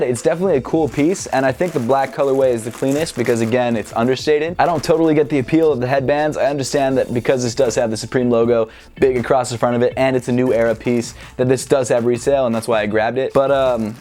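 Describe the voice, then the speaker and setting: A man in his twenties, slightly hoarse from a cold, chatting upbeat and straight to camera while unboxing clothes on a clip-on mic indoors. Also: medium pitch, fairly even intonation, fast, dark tone. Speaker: sneaker and streetwear vlogger. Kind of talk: casual unboxing vlog. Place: indoor room with sneaker shelves. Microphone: clip-on lapel mic.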